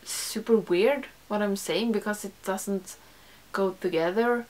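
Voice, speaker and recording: A woman's voice: mid-range voice, a little slow, a little mellow. A young woman talks straight into her camera from a small room lined with makeup shelves. She speaks English as a second language and her talk is casual and rambling. She often stops mid-sentence and starts it over. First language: not English. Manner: rambling, self-correcting, frequent "like". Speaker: beauty YouTuber. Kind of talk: casual chat to camera, answering a tag.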